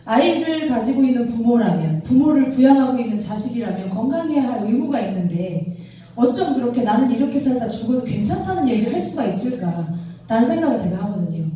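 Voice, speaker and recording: A woman in her thirties speaks as a trainer to a live audience. Her voice is fairly high and a little soft-edged in tone. She talks conversationally and keeps putting questions to her listeners, heard from a distance in a very reverberant room. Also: very animated, brisk. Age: 30s. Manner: conversational, often checks with the audience using rhetorical questions. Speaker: product trainer and speaker for a direct-sales business. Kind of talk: motivational product lecture to a live audience. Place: echoey indoor lecture room with an audience.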